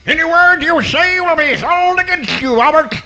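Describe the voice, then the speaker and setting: A man's voice: very high voice, expressive, moderate pace, bright, forceful tone, lo-fi sound, exaggerated voice. A man playing a small, earnest and wry swamp critter in a comic radio play. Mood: officious.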